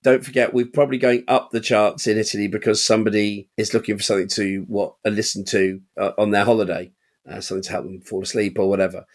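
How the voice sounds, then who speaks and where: A man co-hosting a podcast, telling animated stories from his auction house, acting out quoted voices and laughing often. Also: fairly low pitch, quick pace. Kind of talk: casual chat.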